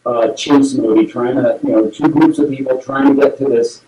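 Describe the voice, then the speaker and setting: A man's voice: mid-range voice, steady tone, normal speed, highs cut off, noisy background. A middle-aged American man from Massachusetts chatting enthusiastically and effusively as a film producer being interviewed. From Massachusetts, USA. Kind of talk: casual promotional interview chat.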